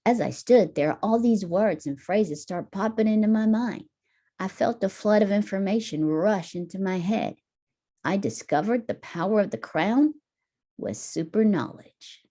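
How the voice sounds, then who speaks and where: A woman teacher reading a picture book aloud to children in an expressive, deliberate storytelling manner. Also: mid-range voice.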